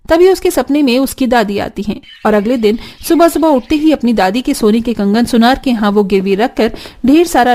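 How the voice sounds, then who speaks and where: High-voiced woman narrating a story. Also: darkish tone. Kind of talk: scripted storytelling narration for a cartoon.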